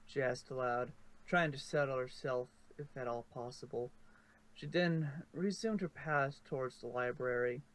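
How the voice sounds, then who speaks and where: Male narrator, mid-pitched, storybook reading style. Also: measured pace, fairly bright tone, low-quality recording. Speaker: narrator of a fan fiction reading. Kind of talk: storybook narration with casual asides.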